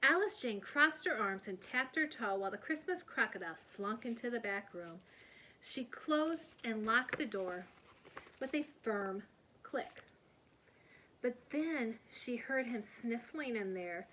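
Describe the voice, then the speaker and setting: A woman reads a picture book aloud in a bright, fairly high voice. Her storytelling is animated and expressive, as if for young children. A faint noise sits behind her. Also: measured pace, low-quality recording. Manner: animated, putting on character voices. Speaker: read-aloud storyteller, teacher.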